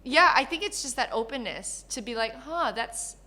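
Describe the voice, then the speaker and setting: A woman from Malaysia who grew up in Canada, talking casually as a podcast guest, laughing often and telling stories in an animated way. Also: high voice, moderate pace. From Malaysia, raised in Canada. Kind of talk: casual interview chat.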